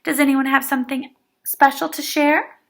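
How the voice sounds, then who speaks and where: A woman voicing a kind, gentle schoolteacher in a children's storybook, speaking encouragingly to her pupils. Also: sits high, steady tone, normal speed, gravelly, voice pushed up. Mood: cheerful. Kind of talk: children's storybook read-aloud.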